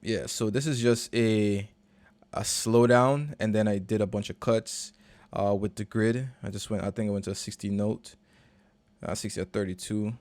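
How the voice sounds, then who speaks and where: Laid-back man with a low, dry voice. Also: moderate pace, no room sound. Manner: relaxed, slangy, loose and conversational. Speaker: recording and mixing engineer. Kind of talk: casual screen-share walkthrough.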